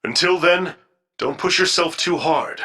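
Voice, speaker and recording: An actor playing an earnest, reassuring friend with a caring manner in a video game cutscene. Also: male voice, a little high, a little slow, bright, forceful tone, husky, exaggerated voice.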